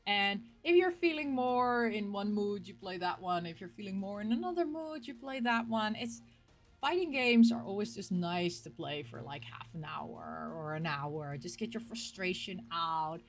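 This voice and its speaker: A middle-aged woman chatting casually as a game collecting show host, rambling and animated, often questioning herself aloud. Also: medium pitch, moderate pace, faint music behind. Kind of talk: casual rambling vlog chat about game pick-ups.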